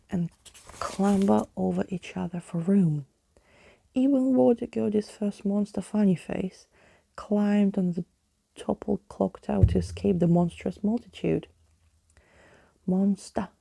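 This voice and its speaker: A woman reading a storybook as ASMR, in a soft, breathy, gently hushed voice that slips into whispering. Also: mid-range voice, fairly even intonation, unhurried, no room sound. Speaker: ASMR reader, narrator.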